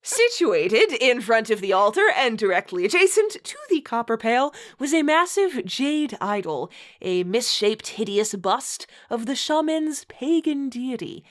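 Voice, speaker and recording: A woman's voice: a little high, sing-song, unhurried, hardly any pauses. A woman reading a story aloud in a dramatic style, giggly and adding amused asides. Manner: giggly, often breaking into laughter while reading. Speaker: reader of a bad fantasy story.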